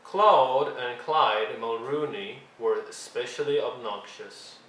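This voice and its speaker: A man's voice: mid-range voice, a bit bright. Man reading a children's story, roomy sound. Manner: deliberate, dramatic emphasis. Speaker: storyteller reading a picture book aloud. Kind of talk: expressive read-along narration for young children. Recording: roomy echo, faint background noise.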